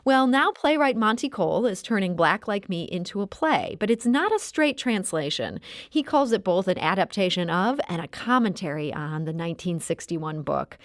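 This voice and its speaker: A woman hosting a radio talk show, introducing and interviewing in a measured, articulate way. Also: mid-range voice, big pitch swings, dry recording, clean studio sound.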